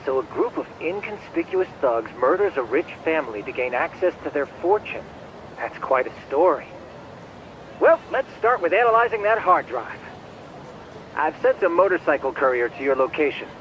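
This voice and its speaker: A man's voice: fairly high pitch, big pitch swings, normal speed, fairly bright tone, muffled recording, slight background noise. An actor playing a polite, helpful male computer geek in a video game dub, articulate and precise. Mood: matter-of-fact. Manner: courteous, articulate.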